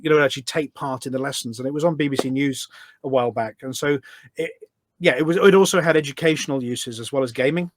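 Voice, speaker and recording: A man's voice: mid-range voice, no room sound. Chatty British man, dry close sound. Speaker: podcast guest, charity event organiser. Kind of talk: casual conversational storytelling.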